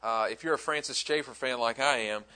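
A middle-aged Southern-raised man leads a classroom session in a bright, forward voice. He talks in a loose, friendly way and drifts into side stories with his students. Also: medium pitch, fairly quick. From American South. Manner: chatty, friendly, rambling asides. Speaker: instructor. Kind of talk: classroom talk.

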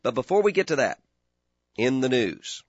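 A man hosting a political talk radio show, hoarse and gravelly today, earnest and opinionated, sometimes repeating words as he starts a thought. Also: mid-range voice, sing-song, moderate pace, dry recording, lo-fi sound.

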